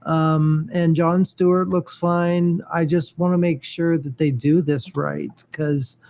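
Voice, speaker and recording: A man's voice: fairly high pitch, level tone, vocal fry, a little mellow. A man chatting casually about comic-book shows, hesitant and full of fillers and restarts. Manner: halting, many fillers and restarts. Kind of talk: casual geeky chat.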